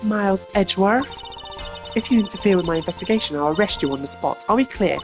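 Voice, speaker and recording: A man's voice: high voice, expressive, fast, pitched above the performer's own voice. A man voicing a strict, haughty young female prosecutor who scolds and commands, over game music. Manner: imperious, scolding.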